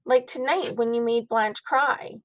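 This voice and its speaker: A woman reading a children's book aloud with expressive narration, drawing out key words for emphasis. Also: a little high, unhurried, no room sound.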